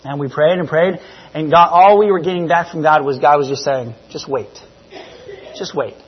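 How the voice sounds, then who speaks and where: Man in his thirties, slightly high voice, from New Zealand, informal testimony, conversational, stop-start with self-corrections, muffled recording. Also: moderate pace, slightly rough. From grew up in New Zealand. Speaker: missionary giving a church presentation. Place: church, speaking to a congregation. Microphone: church PA microphone.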